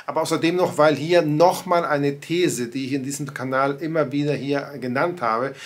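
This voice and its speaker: A man's voice: on the high side, normal speed. A man in his sixties, a native Spanish speaker, giving conversational commentary to camera from his home study, with frequent fillers and restarts. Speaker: commentator on church affairs. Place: home study with bookshelves and pictures.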